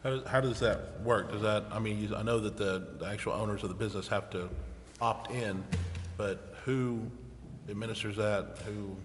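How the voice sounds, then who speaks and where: A man's voice: fairly low pitch, moderate pace. A plain-spoken, folksy man informally chairing a council meeting. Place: city council chamber. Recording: noisy, reverberant meeting chamber.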